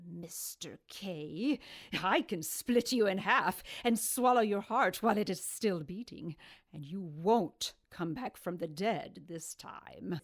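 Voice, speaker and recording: A woman's voice: fairly low pitch, lively intonation, takes it slowly, full character voice. A woman playing a menacing, imperious snake creature in an audio drama, haughty and threatening. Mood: cold menace.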